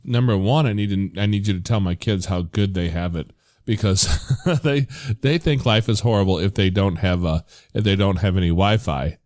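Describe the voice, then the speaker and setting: Laid-back male podcast host, lowish voice, dry close-miked sound, loose and meandering. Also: moderate pace, no room sound. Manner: relaxed, rambling, self-correcting. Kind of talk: casual chat.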